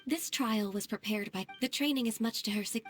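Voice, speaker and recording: Anime game heroine: reserved, polite half-human young maiden played by a woman, fairly high, soft and earnest, music behind. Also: fairly quick, natural voice. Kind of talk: anime video game voice acting.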